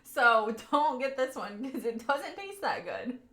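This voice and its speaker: A young woman with a Southern lilt and a fairly high voice chats loosely with her live viewers. She giggles between words, and the sound carries the echo of the room she is in. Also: big pitch swings, measured pace, a bit bright. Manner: chatty and giggly, often laughing mid-sentence. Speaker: live streamer. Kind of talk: casual chat with a live audience.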